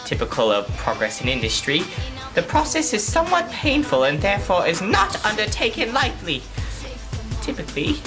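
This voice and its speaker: A woman narrating a sketch by reading economics text aloud. Her voice is extremely low for a woman yet moves around a lot in pitch, with music playing clearly underneath. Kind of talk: school project comedy narration.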